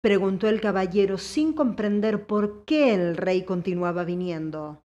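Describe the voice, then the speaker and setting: A woman's voice: mid-range voice, unhurried, dark tone, muffled recording. A woman reading scripted audiobook narration as the storyteller.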